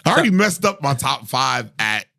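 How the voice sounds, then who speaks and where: A man in his forties with a deep voice, a singer talking as a podcast guest in casual chat, animated, laughing and often restarting his sentences. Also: normal speed, creaky, dry recording, studio quality.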